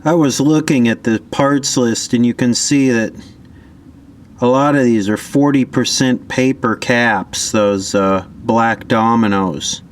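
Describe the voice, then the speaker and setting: A man giving casual hands-on repair commentary, thinking aloud in an offhand, low-key way as an electronics hobbyist. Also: slow. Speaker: electronics repair hobbyist presenting his own video.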